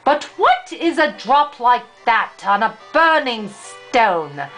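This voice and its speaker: A miserable, parched fairy-tale man, read by an older woman, complains plaintively. The voice is high and the words come slowly. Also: big pitch swings, fairly bright tone, faint music behind, full character voice. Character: miserable, endlessly thirsty man in a forest.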